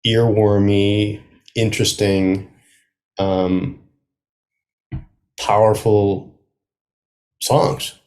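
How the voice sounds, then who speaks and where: A man talking casually as a playwright being interviewed, thinking aloud in a halting way. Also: fairly low pitch, flat delivery, darkish tone. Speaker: playwright guest.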